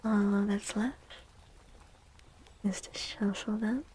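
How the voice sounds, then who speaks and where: A woman streaming as a tarot host, speaking gently in a breathy ASMR style that often drops to a whisper, close to the microphone. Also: mid-range voice, fairly even intonation, slight background noise. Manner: gentle, slow, drawn-out phrasing. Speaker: livestream host doing tarot readings. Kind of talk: soft-spoken ASMR, chatting to viewers.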